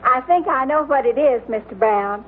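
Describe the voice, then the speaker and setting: A woman's voice: high voice, lively intonation, normal speed, slightly rough. An actress playing a devoted, wistful secretary in an old radio sitcom, lingering sentimentally over her words. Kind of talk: 1940s-50s radio sitcom acting. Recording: tinny vintage radio with faint audience.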